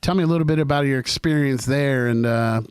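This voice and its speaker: A man's voice: mid-range voice, fast talker, smooth, dry recording, studio quality. Chatty, slangy male podcast host. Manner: chatty and upbeat, slangy, calls people man and baby. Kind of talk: casual podcast interview.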